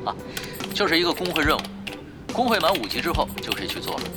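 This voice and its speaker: A man's voice: on the high side, very animated, quick pace, over music. A man playing a patient, organised online game guild leader, explaining things in an orderly way in an audio drama. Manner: instructive, orderly.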